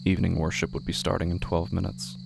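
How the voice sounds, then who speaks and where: A man tells a horror story in a low, heavily creaking voice. His delivery is hushed and deliberate. Insects and hum are plainly audible behind him. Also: flat delivery. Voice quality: gravelly, creaky. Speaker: narrator of a horror audio drama. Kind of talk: scripted horror narration.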